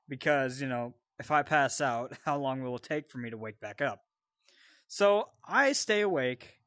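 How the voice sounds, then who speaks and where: A man casually telling a personal story in an animated, sweary way, often wandering off into side details. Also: medium pitch, no echo, clean studio sound. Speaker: storyteller and co-host.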